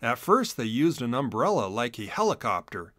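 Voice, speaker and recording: A man narrating a children's storybook in an expressive read-aloud manner. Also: medium pitch, normal speed, dry recording, studio quality.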